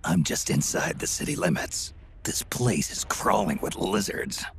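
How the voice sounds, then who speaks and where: An actor playing a gruff, battle-weary aging male soldier in a video game, terse and dry with a gravelly rasp. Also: expressive, a little slow, slight background noise. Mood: grim, terse. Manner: terse, dry, low-key muttering. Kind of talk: video game cutscene acting.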